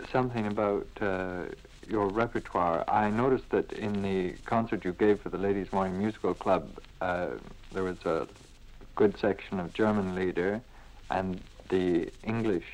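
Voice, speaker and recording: Male interviewer, fairly low voice, polite and halting, feeling his way through each question. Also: poor audio. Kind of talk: unscripted radio-style interview.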